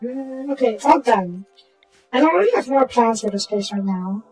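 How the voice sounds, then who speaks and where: A woman with a slightly hoarse voice, casually talking through a strategy game and muttering to herself as she plays. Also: a little high, lively intonation, normal speed, soft background music. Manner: muttering to herself while playing, trailing off into quiet asides. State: hoarse from a sore throat. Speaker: gaming channel host. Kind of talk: casual gameplay commentary.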